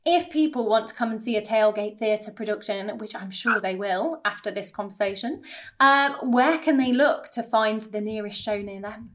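A woman's voice: medium pitch, moderate pace. A woman hosting a podcast interview, chatting casually in an effusive, encouraging way.

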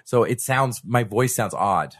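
A man's voice: on the low side, expressive, measured pace, fairly bright tone, husky, no room sound. A man hosting a casual podcast, joking and laughing often, his voice congested from a bad cold, speaking close to the mic. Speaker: podcast host. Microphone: close studio mic.

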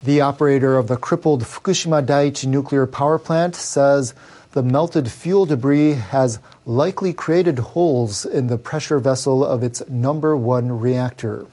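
A man's voice: mid-range voice, normal speed, mellow. A man reading scripted international news as a newsreader. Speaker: news reader.

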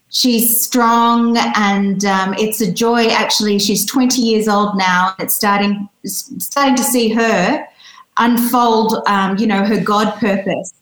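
An Australian woman in her forties speaks as a guest in a casual interview. Her voice sits at a middle pitch and trails into fillers and restarts as she searches for words. The recording has a noticeable room echo. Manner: warm, hesitant, many fillers and restarts.

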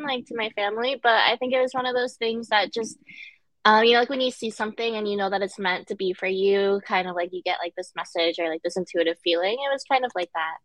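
A young woman from Connecticut, a guest in a casual interview, talking relaxed with many fillers and easy laughs. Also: fairly high pitch, dry recording, low-quality recording. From United States, Connecticut. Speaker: guest, director and dancer.

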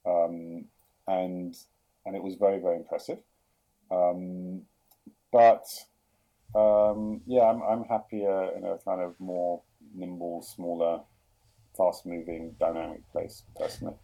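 A middle-aged man with a London accent, talking through his career in a podcast interview, often pausing with fillers and restarting sentences. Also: deep voice, flat delivery, vocal fry, narrow, tinny audio. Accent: London English. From England. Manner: hesitant, many fillers and restarts. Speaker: guest, product executive.

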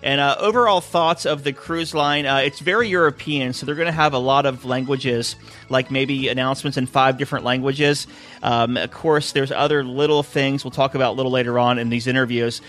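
A man's voice: medium pitch, steady tone, a little fast, fairly bright tone, faint music behind. A man hosting a cruise talk radio show, chatty and upbeat with frequent fillers, interviewing a guest.

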